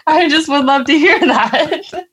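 A woman's voice: high-pitched, slow pace, fairly bright tone. A woman co-hosting a podcast in casual, easygoing, giggly conversation. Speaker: podcast co-host. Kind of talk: casual chat.

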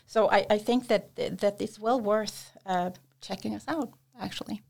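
Gravelly-voiced woman tutor, hesitant. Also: medium pitch, steady tone, unhurried, a little mellow. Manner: hesitant, many fillers and restarts. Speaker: academic English support tutor. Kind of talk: conversational interview answers.

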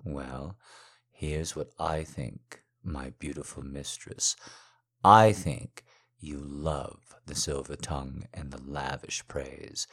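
A very low-pitched, silver-tongued male lover, acted by a man in an intimate audio roleplay. He speaks slowly and seductively, in flowery phrases that slip into breathy murmurs, adoring yet teasing and gently in command. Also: full character voice.

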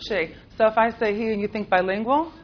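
A woman's voice: mid-range voice, fairly quick, highs cut off, slight background noise. A woman with an American accent, who grew up in Israel, teaching a class in a chatty, playful way with lots of fillers and laughs. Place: classroom with a live group.

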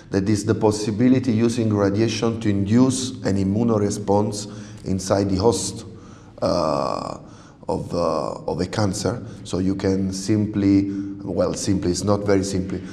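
A man's voice: fairly low pitch, a little mellow, echoey room, long reverb tail. A man from southern Italy whose first language is Italian, speaking English as a researcher guest. He talks hesitantly, with fillers and restarts. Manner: hesitant, searching for words, many fillers. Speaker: radiobiologist guest. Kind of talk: interview conversation.

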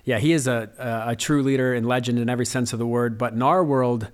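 A man's voice: mid-range voice, steady tone, fast talker, smooth. Male podcast host, eager and admiring, loose casual delivery. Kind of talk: casual interview chat.

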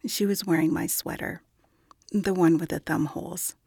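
A gentle young peacemaker in an audio drama, grieving and reflective. Her voice has a creaky edge and sits close and dry. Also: fairly low pitch, fairly even intonation, normal speed, dry recording. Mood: wistful.

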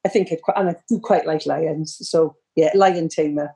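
A woman's voice: low voice, moderate pace, vocal fry. An older woman with a South Wales accent, a retired teacher chatting as a podcast guest. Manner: chatty, lively, says she is loud.

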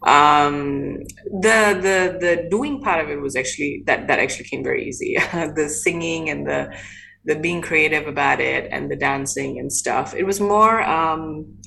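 A woman with a low voice speaks reflectively in an interview and often stops to restart her thought. A faint hiss sits under the recording. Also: measured pace, gravelly, bright, forceful tone, poor audio. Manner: thoughtful, many restarts and fillers. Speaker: guest, playgroup creator. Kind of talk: conversational interview.

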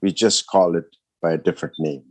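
A man's voice: deep voice, fairly even intonation, fairly quick, dry recording. A man from Ethiopia, speaking as a guest in a podcast interview. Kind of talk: interview answers.

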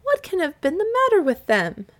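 A woman's voice: extremely high pitch, wildly swooping pitch, fairly quick, pitched above the performer's own voice, full character voice. A woman voicing a dutiful, dismayed little princess in a storybook, plaintive and full of exclamations. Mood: tearful, dismayed.

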